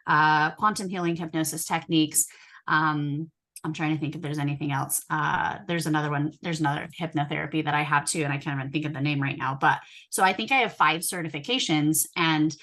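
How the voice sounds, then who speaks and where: A woman hypnotherapist chatting in an interview, telling her own story with many fillers and frequent throat-clearing. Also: lowish voice, fairly bright tone. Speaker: hypnotherapist guest.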